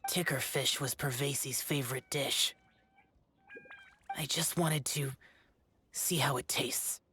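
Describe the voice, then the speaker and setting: Man playing solitary immortal warrior, slow measured speech, terse, solemn, game acting, slight background noise. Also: medium pitch, steady tone, lightly acted. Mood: reserved. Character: aloof, solitary young-looking male immortal demon slayer. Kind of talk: restrained video game voice acting.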